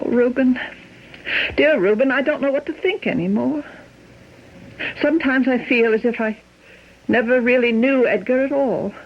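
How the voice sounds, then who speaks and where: Husky, wistful, devoted wife. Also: female voice, high voice, heavily acted. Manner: genteel, emotional, insistent. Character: devoted, grieving wife, wistful and stubbornly in denial. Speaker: radio drama actor. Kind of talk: old-time radio mystery acting. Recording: muffled old radio drama recording.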